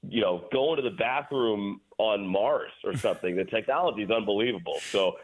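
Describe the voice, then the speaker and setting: A man's voice: lowish voice, brisk. A man talking sports in a casual interview, explaining as a guest announcer over the phone. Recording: tinny phone line.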